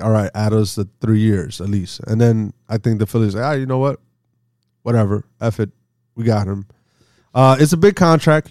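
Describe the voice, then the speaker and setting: A man's voice: on the low side, dark tone, dry recording, clean studio sound. A man in his forties talking baseball in a casual, rambling way as a co-host. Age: 40s. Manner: rambling, chatty, laughs easily. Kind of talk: casual sports talk.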